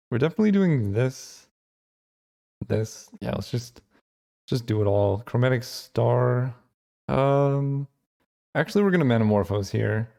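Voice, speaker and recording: A man hosting his channel, thinking aloud in casual gameplay commentary with lots of fillers, his voice getting hoarse. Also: medium pitch, a little mellow. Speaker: channel host.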